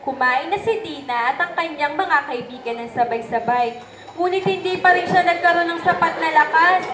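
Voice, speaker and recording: Young female narrator, high voice, Filipino accent, scripted storytelling, echoey room, some background noise. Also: moderate pace, a crowd in the background. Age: young adult.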